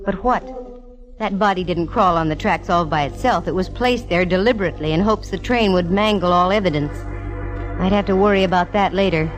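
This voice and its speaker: A woman's voice: fairly low pitch, fairly quick, natural voice, poor audio. An actress playing a wry, bold young woman detective who narrates her case in a clipped, dramatic old radio style. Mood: hard-boiled narration. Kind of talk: 1940s-50s radio detective acting. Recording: old radio recording with music behind.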